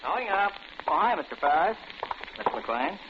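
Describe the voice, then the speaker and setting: High-pitched, nervous elevator man, old radio. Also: big pitch swings, normal speed. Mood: friendly. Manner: stammering, flustered. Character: nervous, deferential elevator man, flustered and evasive. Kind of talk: 1950s radio drama acting. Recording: muffled, very noisy old radio recording.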